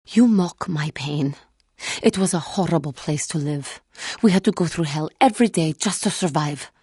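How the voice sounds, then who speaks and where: A woman playing a wounded, earnest female alien Jedi in a video game, speaking in formal, measured phrases. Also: low voice, a little mellow, husky, dry recording, studio quality. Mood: bitter, pained. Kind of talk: scripted video game character dialogue.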